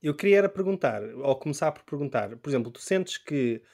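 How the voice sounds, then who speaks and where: A man's voice: on the high side, lively intonation, a little fast, vocal fry, darkish tone. A man from Portugal hosting a casual podcast, asking loose, slangy questions and laughing along. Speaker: podcast host.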